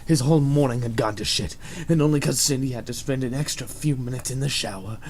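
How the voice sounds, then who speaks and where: A man's voice: mid-range voice, fast talker, darkish tone, a little hiss or hum. A man giving a dramatic scripted reading of a story as its narrator. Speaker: narrator of a fan fiction reading.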